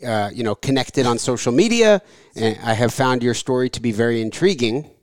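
A man's voice: mid-range voice, a little fast, no room sound, studio quality. Man hosting a podcast. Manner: relaxed, conversational, some filler words. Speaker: podcast host. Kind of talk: casual interview and read sponsor ads.

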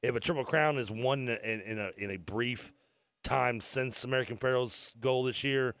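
Rambling, joking radio sports co-host. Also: male voice, mid-range voice, normal speed, a bit bright, dry recording. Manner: rambling, joking, stumbling restarts. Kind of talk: casual radio sports talk.

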